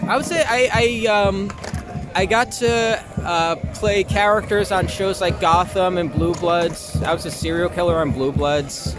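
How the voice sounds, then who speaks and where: A young American man with a fairly high voice answers interview questions. He talks casually and loosely, pausing to find his words and slipping into informal language. Music plays clearly behind him. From Long Island, United States. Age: young adult. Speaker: actor being interviewed.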